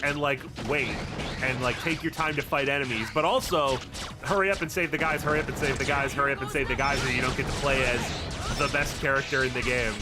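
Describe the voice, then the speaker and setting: A man's voice: medium pitch, brisk. A man giving casual, sarcastic gameplay commentary with some grumbling and swearing, as a streamer would. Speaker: video game streamer. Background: game music.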